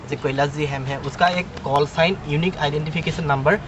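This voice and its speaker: A man's voice: fairly high pitch, fairly even intonation, fairly quick, crowd noise. A middle-aged man with an Indian accent giving an informal explanatory talk as a ham radio trainer, using English terms and everyday examples. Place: outdoors at an urban portable radio station. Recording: muffled outdoor recording, clear traffic noise.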